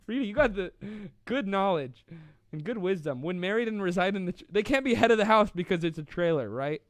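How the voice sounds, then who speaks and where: A young man with a slightly high voice chats playfully and casually, rambling and laughing often as he hosts a live stream. The sound is dry and close, with no room echo. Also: lively intonation, brisk. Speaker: host of a live online show.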